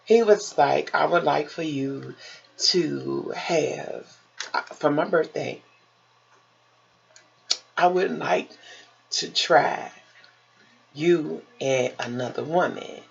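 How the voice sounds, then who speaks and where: Woman, lowish husky voice, unhurried pace, emphatic casual storytelling, theatrical, dull lo-fi sound. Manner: emphatic, theatrical, quoting conversations with rhetorical questions. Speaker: vlogger telling personal stories.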